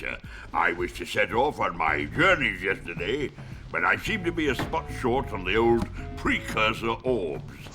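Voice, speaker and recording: A man's voice: fairly low pitch, vocal fry, full character voice. A man voicing a pompous, genteel older uncle in a video game cutscene, speaking in a posh, long-winded, fussy way.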